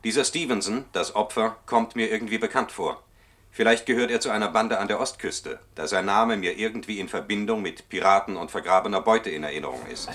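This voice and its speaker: An actor playing an officious male records clerk who dictates memos in a formal, measured, bureaucratic way for a German radio play. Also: fairly low pitch, a bit bright, natural voice. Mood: confidential, official.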